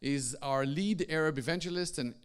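A man introducing staff members to a gathering in a formal, unhurried way. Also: fairly high pitch, a little hiss or hum. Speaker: ministry leader introducing staff.

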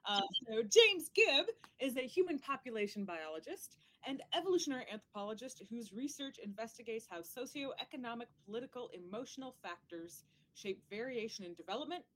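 A woman's voice: fairly high pitch, animated, fairly quick, a bit bright. A woman hosting a podcast, upbeat and self-deprecating, often saying "like". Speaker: podcast host. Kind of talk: casual chat.

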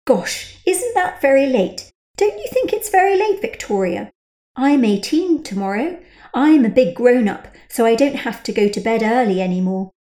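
A woman's voice: on the high side, big pitch swings, normal speed, dark tone, roomy sound, pitched above the performer's own voice. Woman doing a childish, insolent teen girl. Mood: boastful. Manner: childish and boastful. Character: odd, insolent eighteen-year-old girl who sounds childish.

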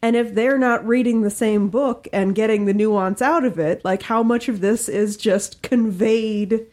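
A woman's voice: a little high, a little slow, a little mellow. A woman co-hosting a podcast, talking through her thoughts in casual discussion. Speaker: podcast co-host.

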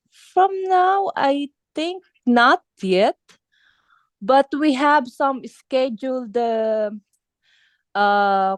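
Young Filipino woman, interview guest; slow, clean voice, hesitant phrasing. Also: a little high, no echo. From Philippines. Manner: halting, searching for words, many fillers and restarts. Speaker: band singer being interviewed. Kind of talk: casual interview chat.

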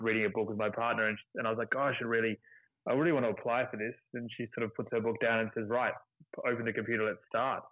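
A man's voice: lowish voice, dry recording. Laid-back Australian guy, chatty, heard over a narrow phone-quality line. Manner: relaxed, chatty, laughs easily. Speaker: guest, television cooking contestant. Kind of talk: casual conversation.

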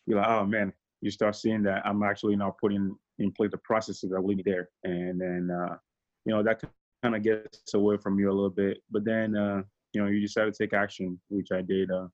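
Low-voiced man talking things over. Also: brisk, muffled recording. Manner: relaxed, reflective, many fillers. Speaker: guest. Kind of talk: casual interview.

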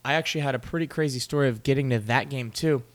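A young man co-hosting a relaxed sports podcast, recounting games with lively energy and frequent laughter. His voice sits in a middle register and moves along at an easy conversational pace. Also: mid-range voice, fast. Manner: animated storytelling, laughs often. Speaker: podcast co-host. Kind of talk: casual sports chat.

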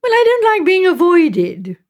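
Lively, teasing young sister, as a woman narrator plays her. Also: very high-pitched, measured pace, voice pushed up, heavily acted. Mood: indignant, delighted.

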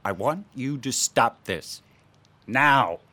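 A man acts a middle-aged academic husband in a radio drama, touchy and defensive. His mid-pitched voice swings widely in intonation. The sound is dry, with little room around it. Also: animated, slow, sharp tone, dry recording, exaggerated voice. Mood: irritated, defensive.

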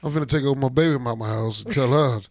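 A man bantering loudly and joking in a casual podcast. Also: mid-range voice, racing. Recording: loud background noise.

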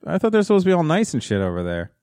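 Guy in his 30s on a podcast, swoopy animated delivery, sarcastic jokes, dry close sound. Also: on the high side, rapid-fire, no room sound. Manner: sarcastic, wisecracking. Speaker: podcast co-host. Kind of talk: casual comedic chat.

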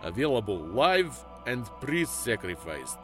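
A man's voice: medium pitch, big pitch swings, unhurried, soft background music. Emphatic parody ad announcer, played by a man. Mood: mock-earnest selling. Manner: emphatic salesman delivery. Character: brisk male ad announcer selling cursed magpies.

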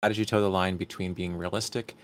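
A man's voice: low voice, steady tone, quick pace. A man casually moderating a panel and reading out questions. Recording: clear background noise and ambience.

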